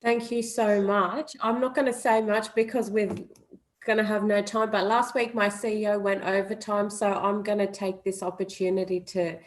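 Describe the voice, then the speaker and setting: A woman moderating a panel who speaks in a brisk, friendly way. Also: mid-range voice, flat delivery. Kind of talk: hosting a panel.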